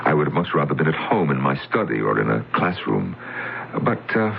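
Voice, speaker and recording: An actor playing an older, wistful and conflicted scientist in a radio drama, halting and reflective. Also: male voice, extremely low pitch, very animated, creaky, heavily acted. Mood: embarrassed, hesitant. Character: old male scientist, conflicted, wistful, self-effacing. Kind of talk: 1970s-80s radio drama acting. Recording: tinny old radio recording, music behind.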